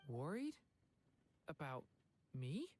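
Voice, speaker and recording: Gentle, low-pitched shy young boy. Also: sing-song, slow, exaggerated voice. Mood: timid, touched. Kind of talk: English video game voice acting. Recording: game music under the voice. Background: music.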